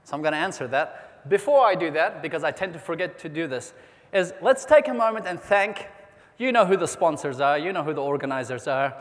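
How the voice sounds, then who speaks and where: A man from New Zealand giving an energetic motivational keynote, rallying the audience with questions and calls for applause. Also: a little high, brisk. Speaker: motivational speaker. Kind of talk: keynote speech to a convention audience. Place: large convention hall. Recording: long hall reverb.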